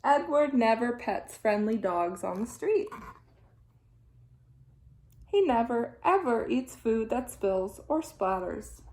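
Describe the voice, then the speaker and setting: A woman teacher reading a children's storybook aloud in an expressive, animated way with deliberate phrasing. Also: a little high, slight background noise. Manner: animated, deliberate phrasing with dramatic sound-effect words. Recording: echoey room sound.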